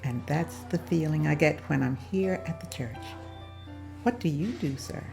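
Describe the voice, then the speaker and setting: A woman reading the part of a polite, devout young schoolteacher at a table read, answering softly and modestly. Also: deep voice, lively intonation, unhurried, vocal fry, faint music behind, exaggerated voice.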